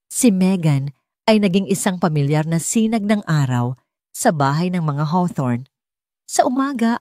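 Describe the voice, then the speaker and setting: A woman's voice: fairly low pitch, dark tone, no echo, clean studio sound. A woman with a Filipino accent reading a scripted romance story as an audiobook narrator.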